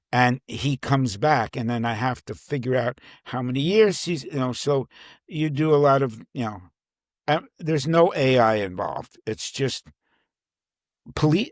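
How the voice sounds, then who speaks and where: Halting man chatting, dry close sound. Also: medium pitch, no echo. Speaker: crime thriller novelist, interview guest. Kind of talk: casual conversational interview.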